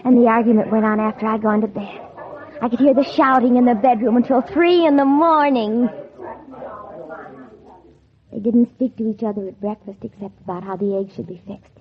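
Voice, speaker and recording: A woman's voice: fairly high pitch, moderate pace, natural voice. An actress playing a spiteful, scheming teenage girl in a 1940s radio drama, by turns falsely innocent and coldly bitter. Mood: sly narrating. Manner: feigned sweet innocence turning coldly bitter. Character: scheming, spiteful teenage girl narrating her own revenge. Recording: muffled, tinny old 1940s radio recording.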